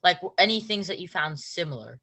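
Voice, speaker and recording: A woman's voice: on the low side, expressive, a little fast, bright, forceful tone, husky. A young woman interviewing parents, asking casual, hesitant questions full of "like". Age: teens. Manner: hesitant, casual, filler-heavy. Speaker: youth interviewer.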